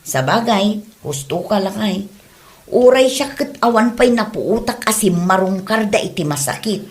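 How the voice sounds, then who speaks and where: A woman's voice: medium pitch, expressive, a little slow, natural voice. A woman playing a chatty, nosy married villager in an amateur comedy drama, with a Filipino Ilocano accent. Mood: chatty, gossipy.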